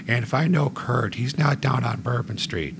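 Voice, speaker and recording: A man in his forties with a gravelly voice, hosting a joking podcast chat. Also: mid-range voice, moderate pace, noisy background. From United States. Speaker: podcast host.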